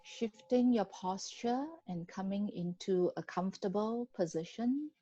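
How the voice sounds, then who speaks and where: A woman guiding a body scan meditation in a gentle, unhurried manner with long pauses between phrases. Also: mid-range voice, no echo, lo-fi sound. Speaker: meditation guide.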